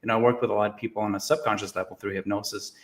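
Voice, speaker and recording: A man's voice: fairly low pitch, level tone, racing. A man from Norway speaking in a casual panel discussion, earnest and explanatory. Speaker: guest hypnotist on a spiritual talk show.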